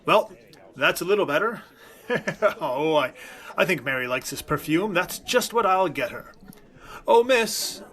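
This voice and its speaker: Blustery, higher-voiced flustered dad. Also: male voice, expressive, unhurried, a bit bright. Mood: musing. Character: genial, easily flustered middle-aged suburban father. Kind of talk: 1940s radio sitcom acting.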